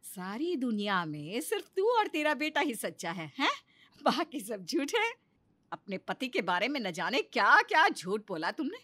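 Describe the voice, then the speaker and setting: A woman acts a bitter, nagging sister-in-law in a moral story, scolding with sarcasm. The voice is high and piercingly bright, swinging widely in pitch. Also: big pitch swings, normal speed, full character voice.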